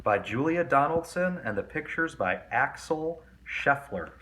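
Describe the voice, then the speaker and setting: A man reading a children's picture book aloud in an expressive narrating style. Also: mid-range voice, measured pace, slight background noise, low-quality recording. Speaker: storybook reader.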